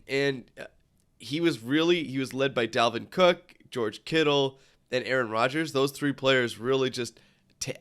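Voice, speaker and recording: A man's voice: medium pitch, fairly bright tone, clear, resonant tone. A young man with a cold and a stuffy nose, hosting a casual sports podcast and rambling with many fillers and restarts. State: congested from a cold. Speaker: podcast host.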